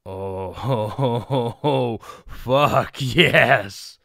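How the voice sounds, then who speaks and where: A man's voice: medium pitch, drawn-out words, creaky, a bit bright, no room sound, clean studio sound, full character voice. A man playing a crude, fawning henchman who grovels to his mistress. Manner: fawning, coarse.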